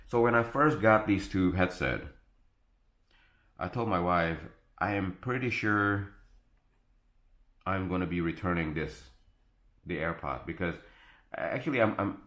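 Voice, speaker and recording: A man giving a casual, unscripted gadget review, explaining things in a halting, self-correcting way. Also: deep voice, fairly bright tone. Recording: echoey, roomy sound.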